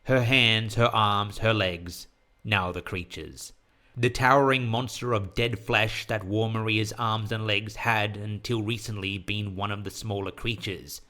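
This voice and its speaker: A man narrating scripted horror fiction in a measured, literary storytelling manner, as the author and host of an audio story podcast. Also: on the low side, steady tone, sharp tone.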